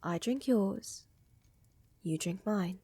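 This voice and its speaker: A woman playing a flirtatious, gently dominant vampire countess in an intimate ASMR roleplay, breathy and often whispering, with teasing, coaxing, formal wording. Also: mid-range voice, slow, no room sound. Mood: concerned, serious.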